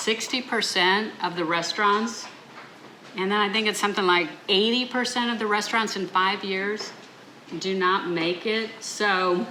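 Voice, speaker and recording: A woman's voice: medium pitch, pushed and bright, reverberant, slight background noise, rough recording. Enthusiastic woman talk show host. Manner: enthusiastic, gushing. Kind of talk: casual interview. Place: restaurant.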